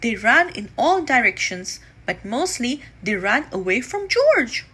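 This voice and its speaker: A woman reading a children's story aloud, deliberately and expressively, with drawn-out emphasis. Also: a little high, big pitch swings, a bit bright, breathy edge.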